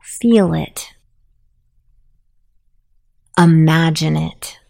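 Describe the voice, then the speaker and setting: Slow, creaky-voiced woman guiding sleep meditation. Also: low voice. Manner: soothing, unhurried, softly spoken. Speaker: guided meditation host.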